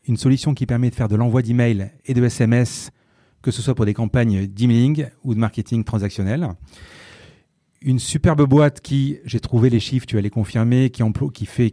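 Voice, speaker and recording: A man's voice: mid-range voice, a little fast, warm tone, no room sound, clean studio sound. A man hosting an interview podcast, presenting and putting questions to a guest. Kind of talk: scripted podcast intro, then conversational interview.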